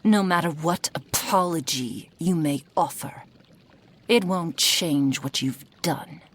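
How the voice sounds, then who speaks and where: A woman's voice: low-pitched, slow pace, breathy edge, heavily acted. A woman performing an ASMR roleplay as a menacing, possessive fox-spirit witch, cruelly affectionate and condescending, often dropping into whispers. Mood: menacing, falsely tender.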